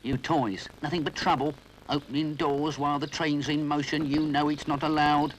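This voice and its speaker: A man's voice: a little high, level tone, moderate pace, a little hiss or hum, voice pushed up, natural voice, rough recording. A man voicing an officious, grumbling train guard who scolds the toys. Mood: scolding.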